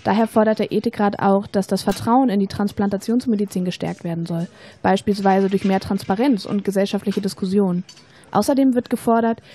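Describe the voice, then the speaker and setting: A woman plays a young female student in an educational radio play. Her voice is mellow and mid-pitched. She explains patiently and persuasively, in measured, scripted sentences. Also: slight background noise, lightly acted. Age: young adult.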